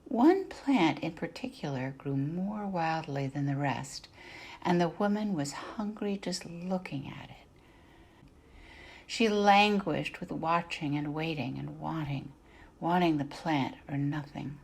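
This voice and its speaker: Female storybook narrator, lowish and creaky, deliberate dramatic phrasing, softly falling phrase ends. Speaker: narrator, artist reading excerpts. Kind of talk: scripted storybook narration for adults.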